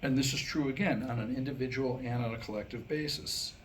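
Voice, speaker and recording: An American man performing a staged comic monologue in a wry, self-deprecating way, with deliberate pauses around his punchlines. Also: medium pitch. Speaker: writer and performer reading his own new comic script. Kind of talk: staged comedic monologue to a live audience. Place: live staged reading before a small audience. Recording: noisy live room recording.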